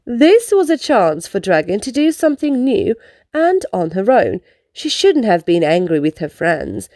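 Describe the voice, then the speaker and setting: A woman's voice: mid-range voice, very animated, a little slow, darkish tone, no echo, studio quality. A woman reading a children's storybook aloud, telling it expressively and explaining its lessons to young listeners. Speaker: children's book reader. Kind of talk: read-aloud storybook narration.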